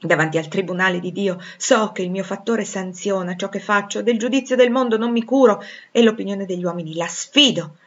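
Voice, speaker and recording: A woman voicing a passionate, tormented gentleman in love, commanding and imploring, in a dramatic audiobook. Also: mid-range voice, brisk, few pauses, muffled recording, lightly acted. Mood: fervent resolve. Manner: urgent, imploring, emphatic. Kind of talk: dramatic audiobook character dialogue.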